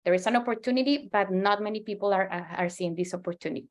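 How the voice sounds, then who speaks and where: A woman's voice: mid-range voice, fast talker. A woman in her forties from Colombia with a Colombian Spanish accent, a native Spanish speaker giving an earnest presentation as a market researcher, often restarting and repeating words. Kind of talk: presentation to a professional audience.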